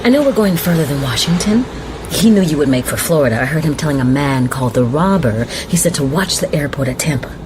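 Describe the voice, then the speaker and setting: An actress playing a desperate, pleading young woman on the run, with a breathy, hushed delivery in a radio drama. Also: low-pitched, expressive, brisk. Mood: relieved, confiding. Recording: noisy radio drama with music behind.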